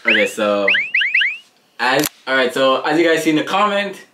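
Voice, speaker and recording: A Jamaican man in his thirties co-hosting a casual family vlog chat, animated and slangy, laughing often. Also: medium pitch, normal speed. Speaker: family vlogger, co-host. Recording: echoey room with faint noise.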